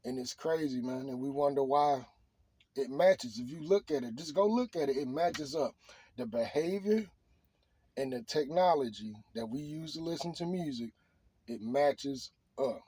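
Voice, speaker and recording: A man's voice: mid-range voice, moderate pace, warm tone, breathy edge. A middle-aged man talking off the cuff in an opinion monologue, preachy and emphatic, often repeating his phrases.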